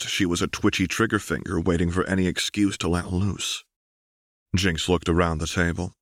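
A man's voice: sits low, a bit bright, no room sound, studio quality. A man reading scripted fiction aloud as an audiobook narrator.

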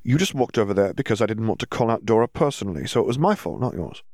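A man's voice: lowish voice, lively intonation, fast, darkish tone, dry recording, clean studio sound. A man voicing a sly, scoffing teenage schoolboy with a guarded, teasing manner in an audiobook story. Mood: defensive.

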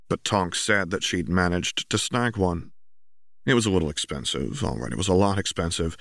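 Low-voiced male audiobook narrator. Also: a bit bright, dry recording, studio quality. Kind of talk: scripted story narration.

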